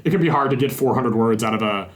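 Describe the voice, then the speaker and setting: A man's voice: mid-range voice, fast talker. A young man hosting a casual games podcast, rambling in a friendly way with self-deprecating asides. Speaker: podcast host.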